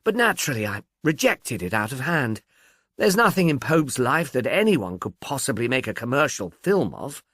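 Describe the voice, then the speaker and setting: Guy doing an amiable chatty detective for an audiobook, bit slow on the uptake, really lively intonation, dry sound. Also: mid-range voice, no echo. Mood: puzzled, aggrieved. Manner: chatty, musing, earnestly puzzled. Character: Humbleby, amiable, mildly obtuse police detective, male. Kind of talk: audiobook character dialogue.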